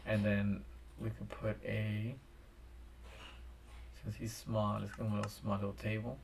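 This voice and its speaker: Low-pitched man with a gravelly, tired voice who rambles while building a game map on a stream. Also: monotone, a little slow, a little mellow, slight background noise. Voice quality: raspy, tired voice. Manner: rambling, thinks aloud with restarts. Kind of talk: casual commentary while building. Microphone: desk microphone.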